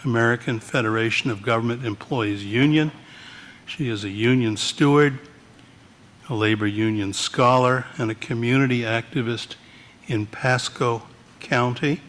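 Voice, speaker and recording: A man, a minister, giving a measured church welcome and introducing a guest, with frequent pauses. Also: medium pitch, fairly bright tone. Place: church sanctuary. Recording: long hall reverb.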